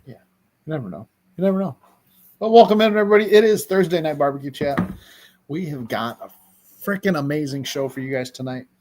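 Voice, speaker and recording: A man's voice: on the high side, animated, brisk, pauses often, darkish tone, slight background noise. A man co-hosting a casual livestream chat, upbeat and playful in banter, greeting viewers. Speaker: co-host.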